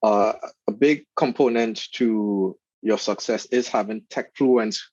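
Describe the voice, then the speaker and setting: A man with a Trinidadian accent, a guest in an interview, speaking in an earnest, measured way with fillers. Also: on the low side, darkish tone, no room sound, dull audio. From Trinidad and Tobago. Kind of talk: casual interview chat.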